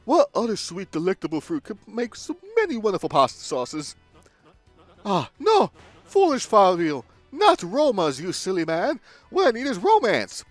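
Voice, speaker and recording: A grandiose, vain merchant declaims with sweeping, swooping pitch and a high, bright lift, as a man puts him on. His lines burst out with theatrical exclamations over soft game music. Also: wildly swooping pitch, moderate pace, no echo, exaggerated voice. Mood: dramatic. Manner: theatrical, exclamatory, mock Italian-style accent put on. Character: flamboyant rich male trader, dramatic and vain. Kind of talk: reading game dialogue aloud in a put-on voice.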